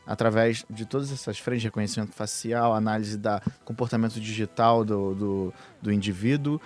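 A man's voice: on the low side, steady tone, moderate pace, a little mellow, soft background music. A man co-hosting a casual technology podcast, explaining at length with frequent fillers and restarts. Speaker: podcast co-host. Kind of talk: casual tech podcast chat.

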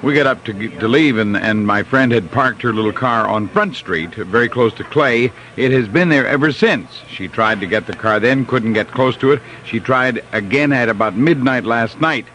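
An American man giving a live radio market report in a halting, chatty way. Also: mid-range voice, fairly bright tone. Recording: noisy old radio broadcast recording.

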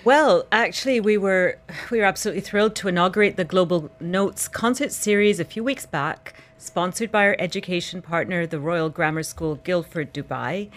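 A female concert pianist and artistic director speaking warmly and enthusiastically in a radio interview, full of polished praise. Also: lowish voice, moderate pace, hardly any pauses. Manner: enthusiastic, polished, superlative-rich. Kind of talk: promotional interview talk.

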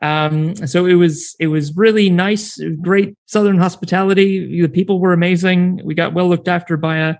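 American man, podcast guest, slightly high voice, rambling and chatty. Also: steady tone, normal speed, few pauses, a little mellow. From New Zealand and United States. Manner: rambling, many fillers and restarts, laughs easily. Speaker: podcast network founder, guest. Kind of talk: casual interview chat.

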